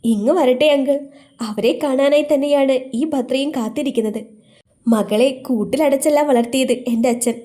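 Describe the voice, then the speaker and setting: Lady doing a young daughter, fierce and loving, highish mellow voice, earnest. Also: moderate pace, natural voice. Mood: defiant. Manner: earnest, emotional pleading.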